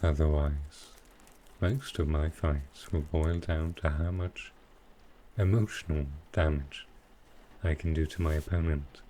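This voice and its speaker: A man playing a sly, dryly amused male supervillain in a soft roleplay ASMR style, with halting, understated delivery. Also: a bass voice, fairly even intonation, a little hiss or hum. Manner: unhurried, halting delivery with pauses, dry understated humour.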